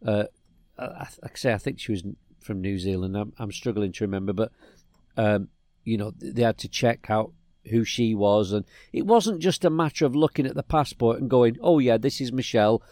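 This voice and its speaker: A man's voice: on the low side, a little fast, warm tone, no room sound, studio quality. A middle-aged man from England, co-hosting a podcast and telling a rambling story with many restarts. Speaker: podcast co-host. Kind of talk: casual chat.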